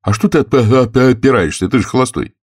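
Man voicing stuttering, wry war correspondent, pitched up. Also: a little fast, husky, no echo, clean studio sound, natural voice. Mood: teasing. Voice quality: heavy stutter on consonants. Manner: ironic, joking, clipped bursts. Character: stammering wry bespectacled male war correspondent, mocking.

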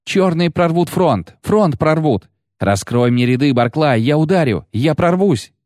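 A man voicing a fierce, reckless woman mercenary captain in an audiobook, urgent and shrill. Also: very animated, a little slow, a little mellow, no room sound, studio quality. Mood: urgent, insistent. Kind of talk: audiobook character acting.

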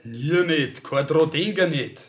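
An actor playing a rough, indignant young peasant in a Bavarian dialect radio play, telling his tale in an earthy, agitated way. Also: on the high side, slow pace. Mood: aggrieved.

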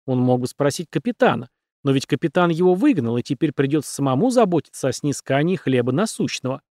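A man reading an audiobook, narrating the story in an even, steady storytelling manner. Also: a little high, fast talker, no room sound, clean studio sound. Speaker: audiobook reader.

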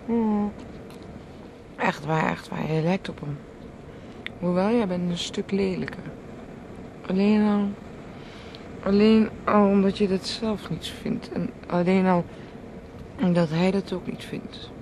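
An actress playing a swimmer's anxious, needling wife in a radio play, halting and repeating her phrases pointedly. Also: medium pitch. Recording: noisy old radio play recording.

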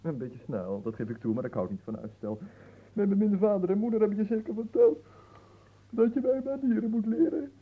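A man's voice: high voice, lively intonation, a little fast, dark tone, breathy edge, heavily acted, low-quality recording. A man acting in a radio drama as a bored, capricious young nobleman, theatrical, languid and teasing. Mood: bored, playful.

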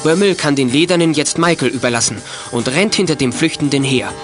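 A man's voice: fairly high pitch, brisk, music in the background, lightly acted. A man narrating a children's detective radio play with scripted, dramatic storytelling.

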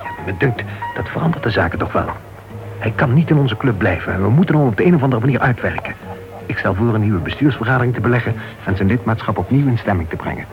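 Man acting an earnest club member, insistent, quick, creaky low-ish voice, radio drama, music behind. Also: animated, close to an everyday voice. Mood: urgent, grave. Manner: urgent, grave, insistent. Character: earnest, disapproving male club member raising alarm. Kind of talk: Dutch radio play acting. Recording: dull old radio recording with music.

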